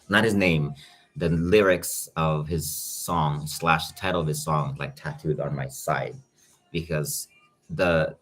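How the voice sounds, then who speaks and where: A young man with a Filipino accent chatting casually on a livestream, greeting viewers by name as he talks. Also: a bass voice. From Philippines. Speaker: YouTube reactor.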